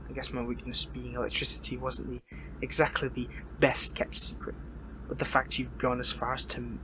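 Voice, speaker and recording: A man playing a bitter, defiant captured superhero in an audio roleplay, speaking in a strained, halting, taunting way. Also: mid-range voice, noisy background, close to an everyday voice. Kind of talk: dramatic audio roleplay monologue.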